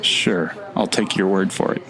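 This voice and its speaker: An actor in an audio drama playing a tired, irritable, lost time traveler, muttering dryly and sarcastically in a naturalistic way. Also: male voice, low-pitched, fairly even intonation, measured pace, crowd noise.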